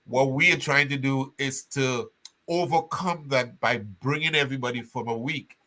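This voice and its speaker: An older man from Trinidad, telling his story as an interview guest with animated restarts. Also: mid-range voice, moderate pace.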